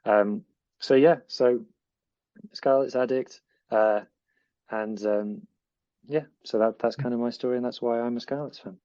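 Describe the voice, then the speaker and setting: A man's voice: mid-range voice, fairly even intonation, unhurried, long pauses, mellow, no room sound, highs cut off. A man with a Northwest English accent, co-presenting a podcast in an eager, rambling way. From northwest England. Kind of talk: casual podcast chat.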